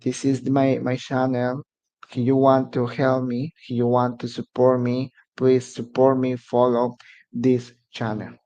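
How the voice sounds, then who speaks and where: A man's voice: medium pitch, completely flat delivery, measured pace, warm tone, muffled recording. A man from Mexico whose first language is Spanish, speaking English with a Mexican Spanish accent as he hosts a casual live stream and halts to search for words. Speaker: immigration advice channel host.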